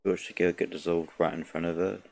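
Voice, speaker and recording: A streamer giving low-key, muttered commentary on a game and reading bits aloud. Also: flat delivery, fast, creaky, darkish tone, dry recording.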